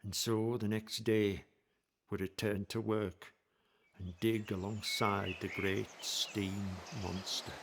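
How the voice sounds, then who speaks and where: A man playing a young Victorian navvy who narrates his story in a community radio play, in a measured, reflective way. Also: lowish voice, level tone, background noise. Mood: wistful. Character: young Victorian navvy, a proud tunnel digger. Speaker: narrator in character.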